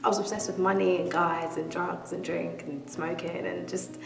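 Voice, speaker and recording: A woman's voice: on the low side, level tone, moderate pace, gravelly. A woman in her twenties giving a candid church testimony, laughing easily as she talks. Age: 20s. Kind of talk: testimony interview. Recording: echoey hall, mic far off, soft music.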